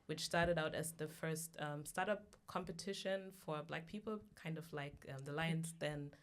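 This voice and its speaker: A low-pitched woman from Germany speaks as a guest on a conversational podcast. Her delivery is thoughtful and measured, and she often pauses with "um" while she gathers her ideas. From Germany (Hamburg). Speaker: guest, founder of a business platform.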